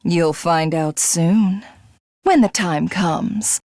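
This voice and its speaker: Slow-talking, haughty villainess. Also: female voice, fairly low pitch, a little mellow, dry recording, clean studio sound, full character voice. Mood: grandiose. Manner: pompous, formal, grandiose, condescending. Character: haughty female alien warlord craving godhood. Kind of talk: theatrical villain game voice acting.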